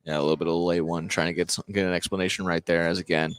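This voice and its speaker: A man doing live play-by-play of a high school basketball game, often repeating and correcting himself as he goes. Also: level tone, a bit bright, smooth, no echo, clean studio sound. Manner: halting, repeats and corrects himself mid-call. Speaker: play-by-play commentator. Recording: noisy live courtside radio broadcast.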